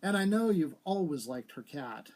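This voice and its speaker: A man's voice: on the high side, sing-song, moderate pace, a little mellow. A man voicing a willful, coaxing younger female socialite in a story reading. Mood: breezy, teasing. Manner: coaxing, gushing.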